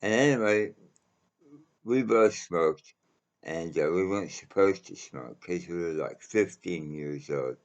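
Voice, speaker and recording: A man telling a casual personal anecdote in a halting, rambling way, pausing often as he goes. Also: sits low. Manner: halting, rambling delivery with frequent pauses. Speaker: storyteller.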